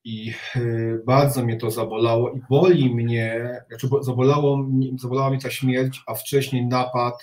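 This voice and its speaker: A middle-aged man giving blunt, halting commentary as an interview guest on a video call from a home study. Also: medium pitch, level tone, a little mellow. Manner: halting, with repeated words and blunt criticism. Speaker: interview guest, reserve army officer. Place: home study with bookshelves, indoors. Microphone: video call, sitting back from the webcam. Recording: roomy, echoing video call.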